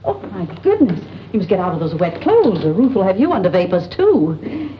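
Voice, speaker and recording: An actress playing a kindly, flustered boarding-house aunt, fussy and chatty in 1940s radio style. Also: female voice, fairly low pitch, sing-song, normal speed. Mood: fussy, welcoming. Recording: muffled, noisy 1940s radio recording.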